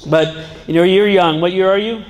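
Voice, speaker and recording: High-voiced man speaking in a large gym. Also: fairly even intonation, moderate pace. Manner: earnest, breaks off and restarts, jokes with the audience. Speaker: guest speaker. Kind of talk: public talk to students. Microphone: handheld microphone. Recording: long reverb in a large hall. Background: seated student audience.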